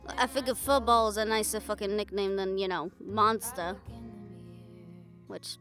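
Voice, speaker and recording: A woman's voice: medium pitch, unhurried, fairly bright tone, lightly acted. A woman playing a wry, affectionate, bossy girlfriend in an improvised, intimate audio roleplay, talking casually with slangy teasing and swearing. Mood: teasing, fond. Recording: music behind the voice.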